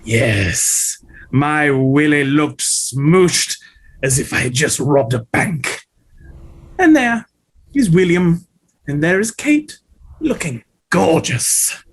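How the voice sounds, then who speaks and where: A man's voice: on the high side, expressive, slow pace. An actor playing a vain, flamboyant male ballet dancer telling a gossipy story at a script table read.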